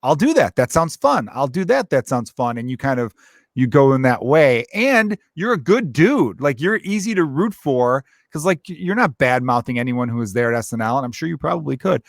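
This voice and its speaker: Thirties podcast host, easygoing and supportive, dry close mic. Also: male voice, mid-range voice, big pitch swings, fairly quick, gravelly, no room sound. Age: 30s. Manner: friendly, encouraging, talks in loose run-on sentences. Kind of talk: casual chat.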